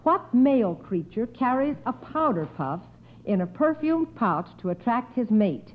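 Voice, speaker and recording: Lady quiz host on old radio, highish voice, polite n formal, kinda muffled recording. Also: big pitch swings, measured pace. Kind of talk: scripted radio quiz hosting.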